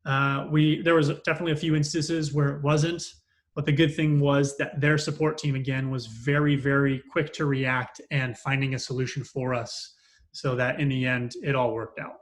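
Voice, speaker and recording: A man giving an unscripted customer testimonial as an operations manager, speaking hesitantly with frequent mid-sentence pauses. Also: medium pitch, level tone.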